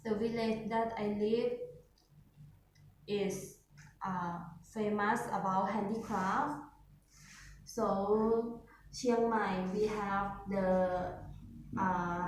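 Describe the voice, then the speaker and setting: Thai woman talking real slow and halting, sounds far off in an echoey room. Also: medium pitch, slight background noise. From northern Thailand. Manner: halting non-native English with pauses. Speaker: test candidate. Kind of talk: speaking test answers.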